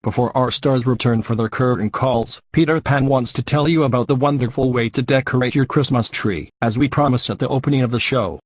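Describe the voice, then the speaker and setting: A man's voice: medium pitch, fairly quick, hardly any pauses. A man working as an announcer, reading scripted links and ads in old-time radio style. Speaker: show announcer.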